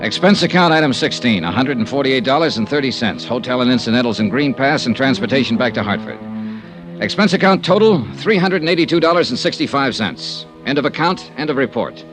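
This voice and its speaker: An American actor playing a shrewd, hard-boiled male insurance investigator in a 1950s radio drama, with a crisp, deliberate, wry delivery. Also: mid-range voice, expressive, over music, natural voice. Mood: dry, wry. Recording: vintage radio drama recording.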